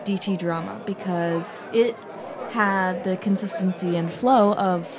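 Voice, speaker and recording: A woman's voice: medium pitch, unhurried. Female co-host, busy chatter behind her. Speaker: podcast co-host. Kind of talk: casual chat.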